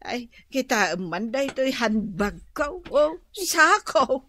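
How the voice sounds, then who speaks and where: A woman's voice: high-pitched, sing-song, slow, sharp tone, full character voice. A woman acting a bossy, wheedling auntie in a radio comedy drama, full of exclamations, with an Ilocano accent.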